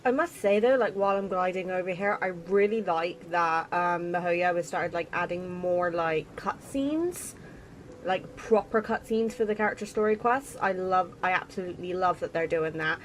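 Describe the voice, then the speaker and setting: A woman's voice: fairly low pitch, normal speed, a little hiss or hum, low-quality recording. A woman giving casual let's play commentary, sarcastic and blunt, with some swearing. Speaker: gaming channel host.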